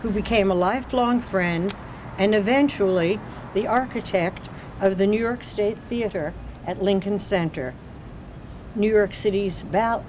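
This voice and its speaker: Older lady reading intros off her notes at a podium, street noise all around. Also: mid-range voice. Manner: measured, reads from notes with small slips. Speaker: ceremony host. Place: outdoors on a city street by a doorway. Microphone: podium mic.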